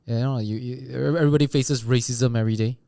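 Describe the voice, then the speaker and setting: A man's voice: medium pitch, steady tone, fast talker, vocal fry, dark tone, no echo, clean studio sound. A man with a Malaysian English accent hosting a casual podcast chat, asking easygoing questions. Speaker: podcast host.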